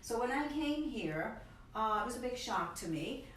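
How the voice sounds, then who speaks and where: An expressive woman in her fifties, from Ecuador, telling her story as a talk-show guest. She sits well back from the microphone in a very echoey room. Steady background noise runs under her voice. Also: a little high, moderate pace. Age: 50s. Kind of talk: casual interview storytelling. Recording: echoey room, far from mic, noisy.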